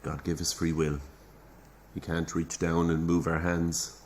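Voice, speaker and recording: Low-voiced pious Irish priest. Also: male voice, completely flat delivery, unhurried, a little mellow, slight background noise. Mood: serene. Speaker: actor in audio drama. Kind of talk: naturalistic audio drama acting.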